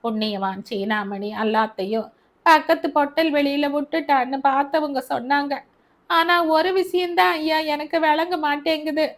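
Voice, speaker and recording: Shrill, lamenting poor old mother, voiced by a woman, bitter and loud. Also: moderate pace. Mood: complaining. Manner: wailing, complaining, colloquial. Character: grieving, angry poor older mother wailing.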